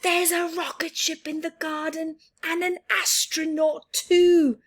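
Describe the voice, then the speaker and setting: A thrilled little boy yelling at the top of his voice, pitched way up and bursting with excitement, as a woman storyteller puts him on. He calls out slowly, each word stretched, with the voice pushed high above her own. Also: exaggerated voice. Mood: excited. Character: excited young boy shouting from window.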